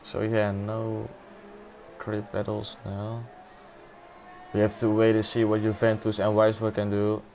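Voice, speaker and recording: A man's voice: on the low side, completely flat delivery, measured pace, vocal fry, pauses often, darkish tone, a little hiss or hum. A man with a Dutch accent commentating a live sim racing event, exclaiming and laughing at close moves. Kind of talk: live esports race commentary.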